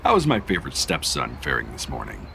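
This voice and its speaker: An actor playing a jovial, grandiose older stepfather in an audio drama, with a gravelly voice. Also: extremely low pitch, huge pitch swings, fast, sharp tone, a little hiss or hum, exaggerated voice.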